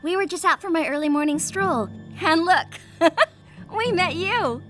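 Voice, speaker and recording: A woman voicing a sweet vintage girl doll with sugary, genteel, overly polite manners, in animated storybook dialogue. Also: extremely high pitch, unhurried. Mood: sweetly cheerful. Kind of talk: animated film character dialogue. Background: music.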